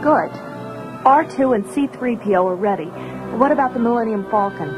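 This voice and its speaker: An actress playing a brisk, authoritative young rebel princess in a 1980s audio drama. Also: female voice, on the high side, lively intonation, close to an everyday voice, poor audio. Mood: businesslike. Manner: businesslike, authoritative. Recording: music behind, radio drama production.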